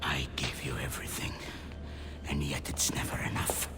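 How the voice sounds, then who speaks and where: A man's voice: extremely low pitch, level tone, normal speed, whispered. An actor playing a powerful older father, a haughty and exasperated patriarch in a game cutscene, speaking with formal, biting indignation. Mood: bitter, hushed. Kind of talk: dramatic video game cutscene acting. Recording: music under the dialogue.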